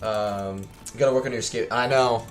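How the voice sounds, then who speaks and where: A young man with a scratchy, worn-out voice talks through his game live. He rambles casually, stopping and restarting often and filling gaps with ums. His pitch sits in an ordinary middle range. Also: fairly even intonation, a little fast. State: scratchy tired voice. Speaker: streamer. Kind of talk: casual gameplay livestream chat.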